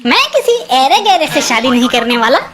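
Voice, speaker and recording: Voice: high-pitched, big pitch swings, a little fast, fairly bright tone. A performer voicing a small, boastful mouse in a children's cartoon story, with a self-important, declamatory delivery.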